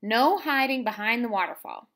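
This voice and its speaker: A woman's voice: on the high side, expressive, normal speed, a bit bright. A woman voicing a bossy but caring female storybook shape in an emphatic, earnest, exclaiming way. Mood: firm.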